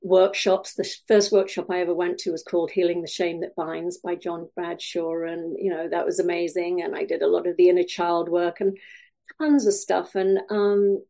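A middle-aged woman from Wales, a podcast guest telling her story with many pauses, fillers and small laughs. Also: on the low side, level tone, vocal fry, mellow. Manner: hesitant, many fillers and restarts, laughs often. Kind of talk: podcast interview.

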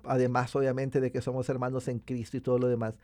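A man co-hosting a podcast interview, talking in a measured way about planting churches. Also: mid-range voice, level tone, dark tone, no room sound, clean studio sound.

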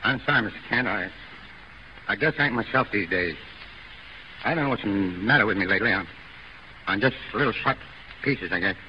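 An actor playing a brash, bitter, hard-drinking burlesque comedian in a slangy, theatrical 1930s radio drama style. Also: male voice, lowish voice, fairly quick, long pauses, slight background noise, lightly acted. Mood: defeated. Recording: muffled, echoey 1930s radio broadcast.

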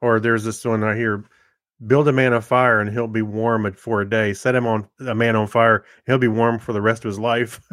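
A man's voice: fairly low pitch, little pitch movement, normal speed, fairly bright tone, no echo, studio quality. A middle-aged man chatting casually as a podcast co-host. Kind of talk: casual chat.

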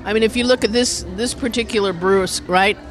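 Animated, rambling community radio organizer. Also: medium pitch, brisk, over music. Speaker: community radio station organizer being interviewed. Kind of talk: casual spoken interview. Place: inside a brewery restaurant.

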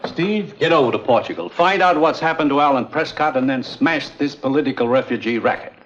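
An actor playing an earnest, authoritative commissioner in a 1950s adventure drama. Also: male voice, mid-range voice, fairly quick, fairly bright tone, slight background noise, natural voice. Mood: grave, urgent. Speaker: commissioner giving orders. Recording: dull, muffled 1950s soundtrack.

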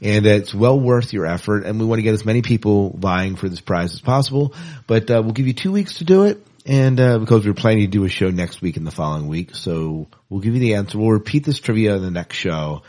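A man with a distinctly low voice co-hosts a podcast. He talks casually and conversationally, doubling back on phrases for emphasis. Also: animated, brisk, darkish tone. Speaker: podcast co-host.

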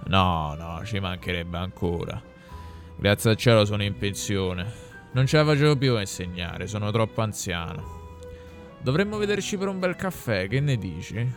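A man voicing a weary, courteous elderly gentleman in an audio story. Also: on the low side, animated, normal speed, soft background music, pitched below the performer's own voice. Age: older. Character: elderly retired male teacher, secretly a chief executive.

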